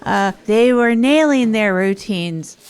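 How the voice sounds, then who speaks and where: A woman's voice: fairly high pitch, animated, slow, a little mellow, smooth, some chatter behind. Earnest, rambling woman playing a hapless comic storyteller. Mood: eager, nervous performing. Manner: rambling, earnest, self-deprecating. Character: Tog, hapless adult storyteller and failed stand-up comedian. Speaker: narrator and radio host. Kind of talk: improvised comic monologue in an audio drama.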